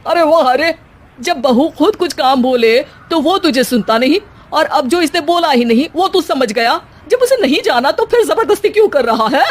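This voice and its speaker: A middle-aged mother-in-law in a cartoon family comedy, voiced by a woman. Her voice is fairly high, and she scolds and bickers with dramatic flair. She comes across as bossy and sharp-tongued. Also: animated, normal speed. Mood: scolding. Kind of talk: animated family comedy story acting.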